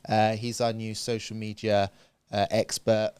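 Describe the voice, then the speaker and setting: A man's voice: lowish voice, completely flat delivery, unhurried, darkish tone, clear, resonant tone, dry recording. A man hosting a casual studio chat in an easygoing, joking way with many fillers. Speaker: host.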